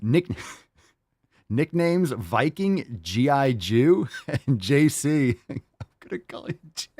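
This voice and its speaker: A man's voice: mid-range voice, big pitch swings, slow, a little mellow, no room sound, clean studio sound. A man hosting a fitness podcast, reading listener posts aloud and cheering them on in casual, profane, hyped-up chat. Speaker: podcast host.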